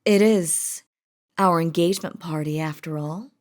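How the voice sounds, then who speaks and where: A woman's voice: on the low side, darkish tone, natural voice. A woman voicing an imperious, possessive, lovestruck demon queen in a scripted roleplay audio drama, with a haughty, theatrical delivery. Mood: tender, teasing. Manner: haughty, theatrical, slow and deliberate.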